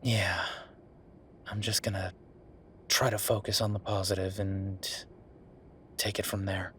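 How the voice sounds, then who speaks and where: A man playing a weary, wry younger werewolf boyfriend working late, speaking haltingly in an intimate roleplay audio drama. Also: flat delivery, fairly bright tone, a little hiss or hum. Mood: tired, frustrated. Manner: low-key, sardonic, halting, sighing.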